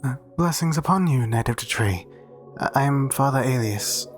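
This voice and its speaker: A man's voice: mid-range voice, expressive, normal speed, soft background music, natural voice. A man playing a meek, devout and easily flustered cathedral priest in a roleplay audio drama, speaking formally and hesitantly. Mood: flustered, reverent.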